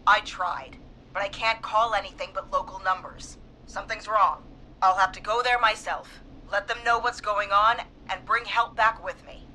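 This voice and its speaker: A woman's voice: mid-range voice, steady tone, moderate pace, bright, forceful tone, a little hiss or hum, natural voice, low-quality recording. A woman playing a frightened but determined police dispatcher in a video game. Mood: urgent, insistent.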